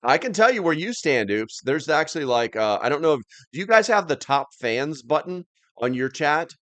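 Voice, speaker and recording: A man's voice: a little high, very animated, normal speed, fairly bright tone, dry recording. A man hosting a live stream, chatting casually as he reads and answers comments in a rambling, plain-spoken, slightly grumbly way.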